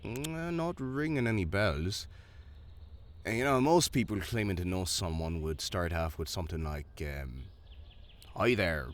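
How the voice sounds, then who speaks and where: A man's voice: low-pitched, animated, unhurried. A man playing a sardonic town guard who teases with dry, mock-hesitant sarcasm in a fantasy audio drama. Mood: dry, teasing. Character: sardonic male town guard, playfully mocking old friend. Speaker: voice actor. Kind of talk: fantasy audio roleplay acting.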